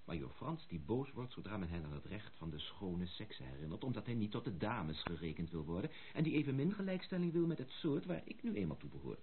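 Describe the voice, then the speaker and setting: A gallant young gentleman suitor in a radio drama. His polite, teasing speech swings widely in pitch. The old recording is thin and tinny, with faint noise. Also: very animated, brisk, few pauses. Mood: teasing, firm. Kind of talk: Dutch radio play acting.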